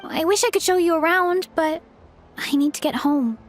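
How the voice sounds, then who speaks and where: A woman's voice: very high voice, normal speed. An actress playing an easygoing, hearty young woman athlete with a breezy, eager manner in a video game. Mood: cheerful. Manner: laid-back, breezy, eager. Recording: game music behind the voice. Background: game music.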